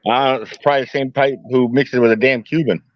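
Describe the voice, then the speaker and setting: A man's voice: medium pitch, steady tone, a little fast, no room sound. A man on a sports podcast panel, teasing the others with dry humor. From Puerto Rican and Italian heritage. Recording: dull, dry remote line.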